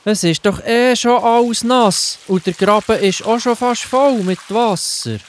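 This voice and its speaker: A man's voice: high-pitched, measured pace. A man playing a naive, cocky worshipper of a false god in a children's audio drama. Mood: puzzled.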